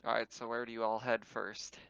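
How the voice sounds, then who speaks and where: Clear, gravelly man running a game. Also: medium pitch, monotone, a little fast, a bit bright, no room sound, lo-fi sound. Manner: informal, explanatory, amused. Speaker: game master. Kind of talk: casual tabletop game narration and chat. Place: online voice call.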